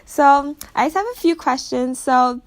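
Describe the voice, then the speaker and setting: A woman's voice: high voice, fairly even intonation, unhurried. A young woman student interviewing an older relative, talking casually with lots of filler words.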